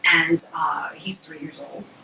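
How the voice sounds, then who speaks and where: A middle-aged woman whose first language is Spanish, explaining bilingual learning in a measured way, with frequent ums and personal examples. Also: low voice, steady tone, bright, forceful tone, in a whisper. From Puerto Rico. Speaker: guest speaker on bilingual education. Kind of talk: explanatory workshop talk. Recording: echoey room, mic at a distance, faint noise.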